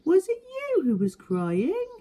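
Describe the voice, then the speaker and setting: A small, eager young beaver from a children's storybook, acted out by a woman. The voice leaps high and swings about with earnest, childlike friendliness and a touch of loneliness. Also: huge pitch swings, slow, dark tone, heavily acted. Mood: eager, hopeful. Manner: exclaiming, earnest, childlike questioning.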